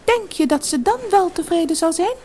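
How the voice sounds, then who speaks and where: A woman's voice: extremely high pitch, animated, moderate pace, darkish tone, pitched above the performer's own voice. A woman voicing a magical little golden fish in a fairy-tale audiobook, curt and exasperated as it deals with wishes. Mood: impatient.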